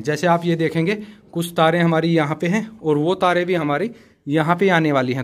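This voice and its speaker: Male tutorial host, slightly high voice, methodical and instructive hands-on explainer. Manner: instructive, methodical, often addresses viewers as friends. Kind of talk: practical how-to explanation to camera.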